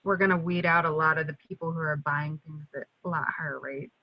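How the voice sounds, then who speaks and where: A man's voice: fairly high pitch, fairly even intonation, a little fast, dry recording. A man taking part in a business conference call, chatting casually as an investor. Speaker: real estate investor, call participant. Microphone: conference call connection. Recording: dull, dry conference-call audio.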